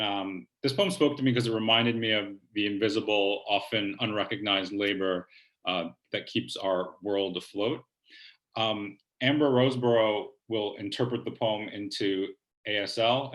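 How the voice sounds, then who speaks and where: Man, lowish voice, easygoing online reading host, lots of fillers, video call from home. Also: low-quality recording. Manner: relaxed, hesitant, many um and uh fillers. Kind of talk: hosting a virtual poetry reading. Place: home, kitchen table or similar room.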